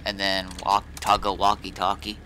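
A man's voice: sits low, completely flat delivery, normal speed, bright, forceful tone. A man chatting casually in character as he plays an online roleplay game. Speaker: roleplay gamer and streamer. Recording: clear vehicle noise behind game voice.

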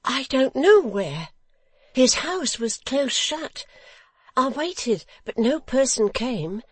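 A childlike young man from a classic storybook, acted out by a woman reader, pitched high and drawn out. He is eager, trusting and full of wonder, exclaiming in a singsong way. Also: very animated, slow pace, slightly rough, no echo, full character voice. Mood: earnest, proud. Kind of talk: audiobook character acting.

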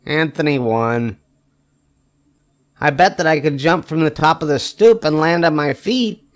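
An older man reads a children's story aloud in a slightly high-pitched voice. His delivery is expressive and emphatic. He lets the short punchlines stretch out with wry weight. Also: a little slow. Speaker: storybook reader. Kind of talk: expressive read-aloud of a children's picture book.

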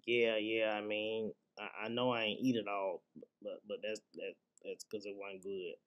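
A man's voice: fairly low pitch, level tone, normal speed, natural voice. Man voicing an indignant, slangy pet dog. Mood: pleading, indignant. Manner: stammering, complaining, slangy. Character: indignant male pet dog talking back to his owner. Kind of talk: comedic animal voiceover.

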